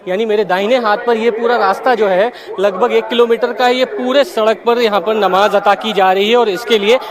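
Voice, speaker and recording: A man giving a live television field report as a news reporter on a street. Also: sits high. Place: outdoors on a crowded city street. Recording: loud crowd noise around voice. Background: crowd.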